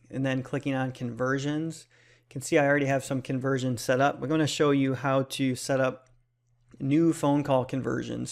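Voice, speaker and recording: A man's voice: medium pitch, a little mellow. A man hosting a casual explanatory tutorial, speaking in a plain, step-by-step instructional way. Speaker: tutorial host.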